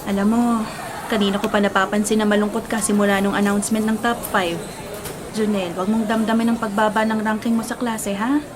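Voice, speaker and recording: A woman's voice: mid-range voice, level tone, fairly quick, natural voice. A woman with a Filipino accent playing a caring, orderly schoolteacher in a radio drama, speaking in an instructive way. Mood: gentle, concerned. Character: caring, orderly female schoolteacher of young children. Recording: clearly noisy background ambience.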